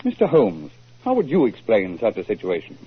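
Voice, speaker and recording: Husky gentleman writer. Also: lowish voice, wildly swooping pitch, heavily acted. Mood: earnest, grave. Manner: formal, measured storytelling. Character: earnest, crafty male mystery writer client. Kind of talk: 1940s radio drama acting. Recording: muffled tinny 1940s radio recording.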